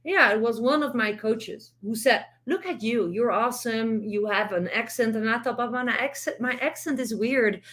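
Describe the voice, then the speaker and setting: A woman's voice: fairly high pitch, moderate pace, hardly any pauses, a bit bright. A middle-aged Dutch woman with a Dutch accent, speaking English as a second language, giving earnest, encouraging vlog advice as an online business coach, with frequent restarts. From Netherlands. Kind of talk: direct-to-camera vlog advice.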